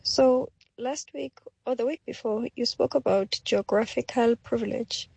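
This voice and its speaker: A middle-aged woman from Tanzania with a gravelly, husky voice, calling in to share her story earnestly. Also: on the high side, a little slow, darkish tone, no room sound. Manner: earnest, personal. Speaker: radio caller.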